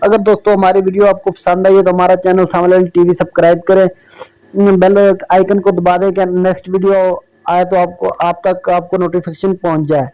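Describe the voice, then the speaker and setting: A man's voice: sits high, little pitch movement, fairly quick, dark tone, clean tone, muffled recording. A man narrating an informal app tutorial as the host of a video.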